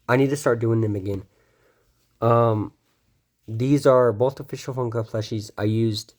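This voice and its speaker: A man's voice: on the low side, darkish tone. A young man casually showing off his toy collection, rambling with lots of ums and self-corrections, his voice a bit stuffy from a cold. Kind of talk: casual show-and-tell of a collection.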